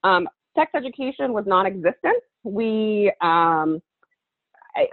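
A woman's voice: mid-range voice, sing-song, takes it slowly, dry recording. Over tinny call audio, a woman from Alabama in her thirties or forties tells personal stories casually and candidly, swearing freely, as a panelist. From Alabama, United States. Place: online video call.

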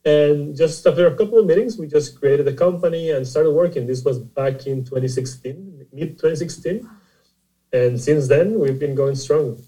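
A man from Costa Rica, a startup CEO, answering interview questions in a halting way, often repeating words as he explains. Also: on the high side, mellow, low-quality recording. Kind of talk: conversational interview.

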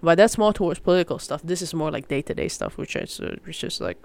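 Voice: mid-range voice, sing-song, quick pace, vocal fry. A young adult from Malaysia chatting casually and solo as a podcast host, in a rambling, informal way that often breaks off and restarts with mild slang.

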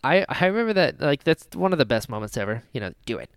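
A man's voice: mid-range voice, fast, clean tone, dry recording, studio quality. A man hosting a podcast, chatting casually and enthusiastically as a fan. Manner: enthusiastic, animated. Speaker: podcast host.

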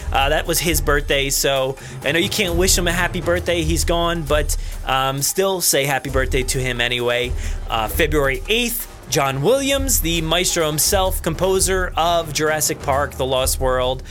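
A man's voice: on the high side, moderate pace, fairly bright tone, faint music behind. A man with a New Jersey accent hosting a podcast in a casual, rambling, jokey and self-deprecating way. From New Jersey, USA. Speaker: podcast host.

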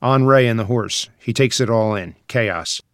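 A man's voice: medium pitch, measured pace, dry recording. Man narrating screenplay directions, dry sound. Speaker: narrator reading stage directions.

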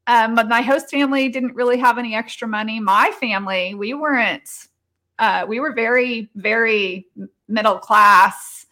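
A woman's voice: fairly high pitch, moderate pace, bright, forceful tone. A middle-aged woman chatting casually as an interview guest, telling personal stories. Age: 40s or 50s.

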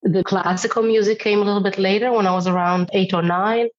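A woman from Israel, a singing teacher talking frankly and thoughtfully, her voice slightly hoarse. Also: medium pitch, fairly even intonation, quick pace, muffled recording. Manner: thoughtful, frank, laughs often. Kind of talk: casual interview chat.

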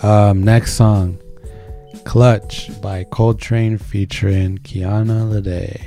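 A man with a low, mellow voice chats casually as a music show co-host. He talks in a slangy, enthusiastic way about songs, with music playing behind him. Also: little pitch movement, drawn-out words. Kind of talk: casual chat introducing songs.

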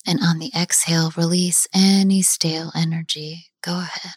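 A woman guiding a chakra body scan meditation, speaking softly and often half-whispering, with long pauses. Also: fairly low pitch, little pitch movement, husky, dry recording. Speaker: meditation guide. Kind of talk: guided meditation.

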